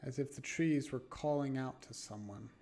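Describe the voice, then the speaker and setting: A man live-streaming a game, reading horror narration aloud dramatically and haltingly, stumbling and correcting himself. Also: mid-range voice, vocal fry, mellow. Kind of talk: live read-aloud of a horror visual novel with asides. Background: background music.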